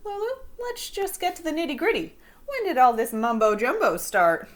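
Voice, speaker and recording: A woman plays a no-nonsense female school nurse in a storybook. She is brisk and bossy, her high voice leaping around as she stresses rhyming slang. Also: very animated. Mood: probing.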